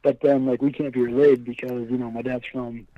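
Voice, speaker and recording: A young man from Boston, a guest on a casual chat, laughing often and talking in a relaxed way over a remote call. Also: medium pitch, a little fast, background noise. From Boston, USA. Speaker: guest, fighter. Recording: dry, dull call audio.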